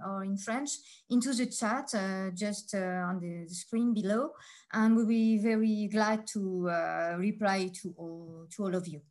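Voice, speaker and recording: A woman co-hosting an online event, explaining the chat with frequent fillers. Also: medium pitch. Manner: hesitant, fillers, trailing off softly. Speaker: co-host. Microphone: video call.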